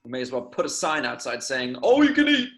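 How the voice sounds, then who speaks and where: A man's voice: mid-range voice, huge pitch swings, a little fast, a bit bright. A man playing a prissy, sarcastic pessimist in an amateur table read, with a fussy manner.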